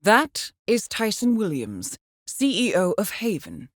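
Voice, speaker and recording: A woman voicing a composed middle-aged intelligence handler in a video game, measured and formal with dry understatement. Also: mid-range voice, very animated, no room sound. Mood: measured, businesslike. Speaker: mission handler. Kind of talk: scripted game voice acting, mission briefing.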